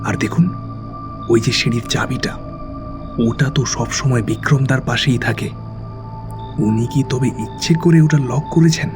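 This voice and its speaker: A man's voice: medium pitch, a little slow, pauses often, over music, lightly acted. A man playing an insinuating junior colleague in an audio suspense drama. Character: insinuating junior male colleague sowing suspicion.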